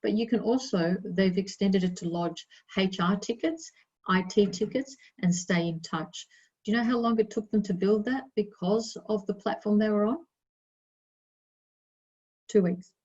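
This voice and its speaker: A woman's voice: mid-range voice, measured pace, darkish tone, breathy edge, muffled recording. A woman whose first language is Italian, giving an emphatic, chatty webinar presentation with fillers. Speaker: innovation presenter.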